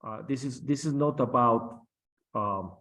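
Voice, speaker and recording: A middle-aged man whose first language is Spanish speaks slowly and haltingly, with many fillers and restarts. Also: medium pitch, a bit bright. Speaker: guest captain. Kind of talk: interview on aviation.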